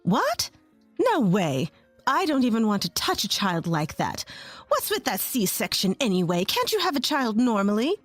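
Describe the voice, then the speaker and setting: A woman's voice: a little high, huge pitch swings, a little slow, no room sound, faint music behind, heavily acted. A woman voicing a spiteful middle-aged mother-in-law who scolds and sneers. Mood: scornful.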